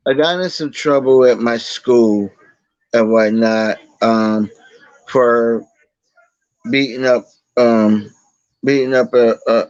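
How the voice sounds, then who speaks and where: A man, a guest telling his story slowly in a casual interview, halting with many fillers and restarts. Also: medium pitch, no echo, lo-fi sound. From Boston, Massachusetts. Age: 40s.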